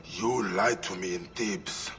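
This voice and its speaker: A terse, stern warrior. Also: male voice, low-pitched, takes it slowly, fairly bright tone, big-room sound, slight background noise. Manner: terse, grave. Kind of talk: video game cutscene.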